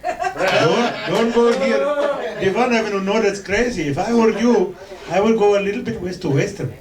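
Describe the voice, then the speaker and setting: A man doing a voice of an excitable male neighborhood traffic reporter, talking fast and frantic in a high-pitched way. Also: natural voice. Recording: echoey club, far mic, loud crowd.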